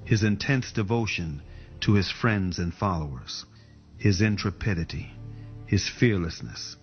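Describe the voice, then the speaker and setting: A man with a low voice reads quotations in a slow, measured voice-over. The recording is dull and lo-fi, and music plays faintly beneath him. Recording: dull lo-fi audio.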